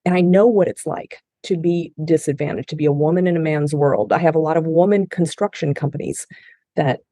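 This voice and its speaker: Earnest woman with a warm, mellow voice. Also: deep voice, a little fast, no room sound, clean studio sound. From Japan. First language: Japanese. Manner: earnest and heartfelt, with frequent restarts. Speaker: guest. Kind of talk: personal testimony in a podcast interview.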